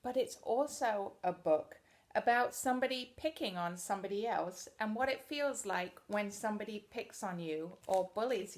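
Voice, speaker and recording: A woman teacher reading a storybook aloud to children expressively, pausing to explain things in simple words. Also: on the high side, gravelly.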